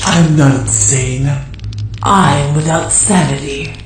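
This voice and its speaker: A man's voice: a little high, takes it slowly, gravelly. A man playing a cold, misanthropic, insane engineered superhuman who speaks in solemn declarations in a comic drama. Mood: cold, menacing. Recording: echoing voice over music.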